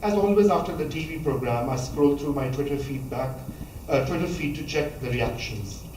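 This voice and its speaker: A man, reading a memoir passage aloud at a measured pace, now and then stumbling and restarting a word. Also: a little high, expressive, noisy background. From Pakistan. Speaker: author reading from his book. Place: college lecture hall. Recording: echoey hall, voice from a distance, faint ambience.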